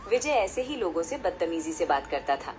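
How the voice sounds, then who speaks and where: A woman's voice: on the low side, very animated, moderate pace. A woman narrating a story in a scripted storybook style. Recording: music behind the voice. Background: loud background music.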